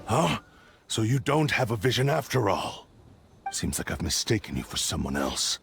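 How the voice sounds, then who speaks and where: A man's voice: lowish voice, very animated, moderate pace, gravelly, over music. An actor playing a brooding, dismissive male swordsman in a game. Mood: cold. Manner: curt, dismissive, aloof.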